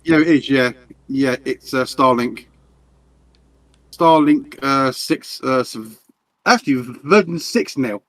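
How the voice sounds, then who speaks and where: A man's voice: mid-range voice, slow, long pauses, a bit bright, dry recording, poor audio. A man streaming a game, chatting casually with friends in a rambling, stammering way and swearing often. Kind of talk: casual voice chat while gaming.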